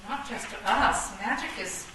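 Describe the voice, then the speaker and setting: Rebel chess piece, played by an actress, assertive idealist, slightly high voice, comic radio play, loud noise and heavy echo. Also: female voice, lively intonation, normal speed, close to an everyday voice. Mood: determined. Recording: very echoey, distant, very noisy room.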